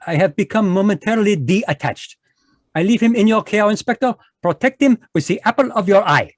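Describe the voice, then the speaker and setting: A man's voice: high-pitched, expressive, brisk, dry recording, clean studio sound. A man playing a fussy Belgian detective with a French-flavored accent and formal phrasing, in a live radio play over video call. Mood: commanding. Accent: French-flavored English, put on. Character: fussy Belgian male detective, precise, commanding.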